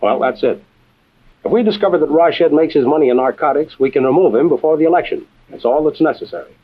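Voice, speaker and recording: An actor playing a composed, authoritative intelligence chief and adventure storyteller, measured and dry, in a vintage radio drama with tinny sound. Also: male voice, fairly high pitch, natural voice. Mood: grave, explanatory. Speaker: host and narrator-character. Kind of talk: old-time radio adventure drama acting.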